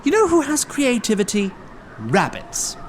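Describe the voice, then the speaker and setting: A man's voice: extremely high pitch, huge pitch swings, unhurried, a little hiss or hum, heavily acted. A man playing a wry, grandiose storyteller who narrates a fantasy tale in theatrical style and wanders into droll asides. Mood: wry, rambling.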